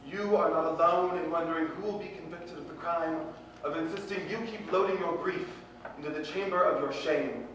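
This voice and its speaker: A man performing spoken-word poetry with impassioned, deliberate, earnest phrasing. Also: fairly high pitch, steady tone. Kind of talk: slam poetry recitation, dramatic and earnest. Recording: very echoey room, distant mic, faint noise.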